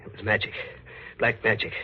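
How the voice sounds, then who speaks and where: A man's voice: brisk, close to an everyday voice. An actor playing a tough, desperate, wounded man on the run in 1940s radio noir, tense and slangy. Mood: angry menace. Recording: old dull radio recording, music behind.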